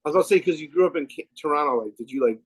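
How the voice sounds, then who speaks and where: A man's voice: on the high side, animated, rapid-fire, husky, no echo, poor audio. A man hosting a casual music interview, laid-back, asking questions and laughing easily. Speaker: podcast host.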